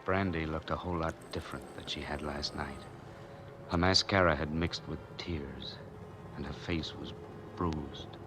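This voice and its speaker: Deep-voiced hardboiled noir man. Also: unhurried. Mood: grim, tense. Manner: terse, deadpan, slangy. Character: wary hardboiled man on the run, posing as detective. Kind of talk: 1940s radio noir acting, first-person narration. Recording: old time radio recording with music behind.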